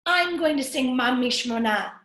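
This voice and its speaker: Woman acting a little girl, high voice, stubborn and defiant, storybook character, roomy echo. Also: animated, a little slow, sharp tone, full character voice. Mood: insistent. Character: insistent, defiant little girl, younger sister.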